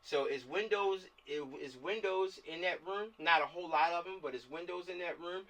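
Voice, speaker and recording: Casting: American man, bright voice, casual director type who repeats himself, slightly distant video-call sound. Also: sits high, moderate pace. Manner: repeats himself, often seeks agreement. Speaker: film director organizing a shoot. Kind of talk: casual planning chat on a group video call.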